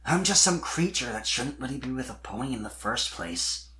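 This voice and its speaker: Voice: medium pitch, animated, normal speed, bright, forceful tone. A performer voicing a shy, kind young male dragon in a fan fiction reading, nervous and hesitant. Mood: nervous, self-doubting. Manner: stammering, hesitant, bashful.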